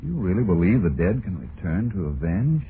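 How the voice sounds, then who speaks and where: A man's voice: deep voice, very animated, fairly quick. A man working as an announcer in 1940s radio style. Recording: tinny, noisy old radio broadcast.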